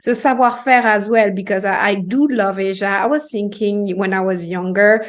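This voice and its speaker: A woman from Martinique with a French Caribbean accent, a podcast guest talking in a halting way, with many fillers and restarts. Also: mid-range voice, steady tone. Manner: halting, hesitant speech with frequent fillers and restarts. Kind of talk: casual interview conversation.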